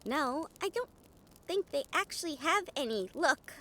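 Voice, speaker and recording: Woman acting a very high-voiced, bubbly harpy. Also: lively intonation, unhurried, heavily acted. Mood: earnest. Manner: bubbly, gentle, earnest. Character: kind, excitable, trusting young harpy healer woman. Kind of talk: audio roleplay acting.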